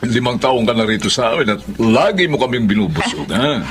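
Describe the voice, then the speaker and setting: An actor playing a jovial, attentive husband and household employer in a drama, with a Filipino accent. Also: male voice, medium pitch, big pitch swings, a little fast, close to an everyday voice. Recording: clear background noise.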